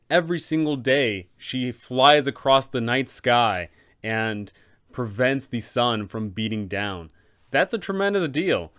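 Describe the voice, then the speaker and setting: A man's voice: medium pitch, fairly bright tone, smooth. A man hosting an explanatory commentary as a game master, with emphatic, dramatic storyteller phrasing. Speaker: host, tabletop game master giving advice. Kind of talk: explanatory video commentary on role-playing villains.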